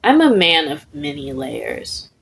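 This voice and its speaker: Woman reading a deadpan, oddball male student. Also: low-pitched, takes it slowly, creaky, a little hiss or hum, full character voice.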